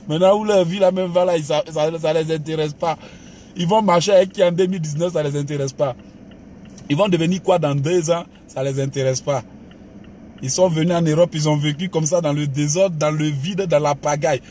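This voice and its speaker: A man's voice: on the high side, steady tone, a little fast, mellow, slight background noise. A man preaching a motivational sermon as pastor, with an emphatic, exhorting manner. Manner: emphatic preacher, calls listeners "bien aimé", mixes exhortation with laughs.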